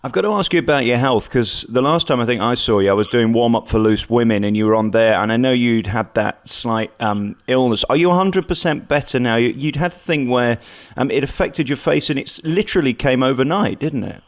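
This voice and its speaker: A man's voice: mid-range voice, brisk. A man hosting a celebrity interview for radio.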